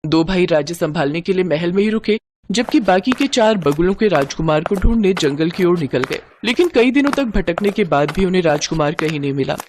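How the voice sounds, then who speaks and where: Woman narrator for an animated story, lively pitch, faint noise behind. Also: fairly quick. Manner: dramatic, expressive.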